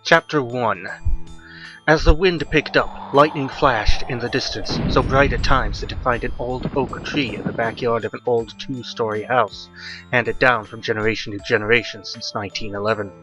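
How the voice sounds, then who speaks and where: A man's voice: medium pitch, steady tone, normal speed, sharp tone, rough recording. A man narrating a horror audiobook in a dramatic, scripted style. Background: faint music.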